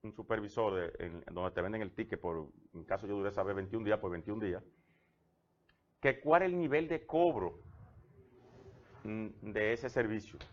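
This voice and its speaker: A man's voice: fairly low pitch, normal speed, long pauses, highs cut off. A Dominican man with a Dominican Spanish accent, a guest on a TV interview who tells personal anecdotes with frequent restarts. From Dominican Republic. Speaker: guest, government labor official. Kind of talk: conversational TV interview.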